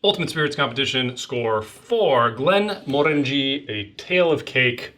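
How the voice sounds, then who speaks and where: A man's voice: medium pitch, animated, moderate pace, bright, forceful tone. A man co-hosting a casual spirits review podcast, upbeat as he steers the talk and tallies the scores. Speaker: podcast co-host.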